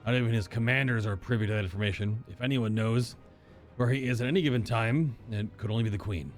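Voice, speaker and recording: A man's voice: medium pitch, fast talker. A man reading through a video game's story aloud as a streamer, in a live playthrough style. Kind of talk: live game playthrough, reading dialogue aloud with casual asides. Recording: game music under the voice.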